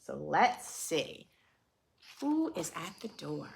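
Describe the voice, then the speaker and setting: Animated, unhurried woman reading to young children. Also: sits low, vocal fry, slight background noise. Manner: animated, dramatic, questioning, with simple explanations for preschoolers. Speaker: children's read-aloud host and teacher. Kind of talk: expressive picture-book read-aloud with teaching asides for young children.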